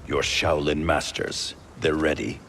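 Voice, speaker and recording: An actor playing a grave, wary ninja warrior leader in a video game, stern and clipped in delivery. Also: male voice, flat delivery, measured pace, background noise. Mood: grim resolve. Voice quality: gravelly, very deep. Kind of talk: dramatic video game acting. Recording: music behind the voice.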